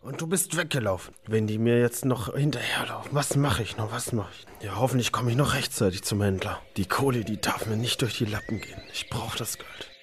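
An amateur performer playing a gruff, greedy male circus director who barks brusque threats in a children's radio play. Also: mid-range voice, fairly quick, hardly any pauses, lightly acted. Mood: muttering, desperate. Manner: barking, brusque.

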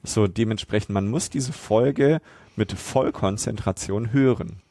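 A man's voice: on the low side, a little slow, warm tone, dry recording. A man moderating a podcast in a casual conversational style.

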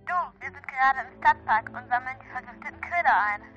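A woman's voice: high voice, fast talker, bright, forceful tone. A young female performer playing a cautious girl detective talking on the phone in a children's radio play. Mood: concerned. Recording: dull phone-call sound, faint music.

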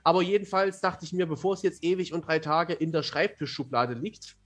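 A man's voice: on the high side, steady tone, fairly quick, a bit bright. A young man speaking as a podcast guest, telling things casually in a wordy, articulate and playful way. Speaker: podcast guest, fantasy author. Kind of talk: casual, talkative storytelling.

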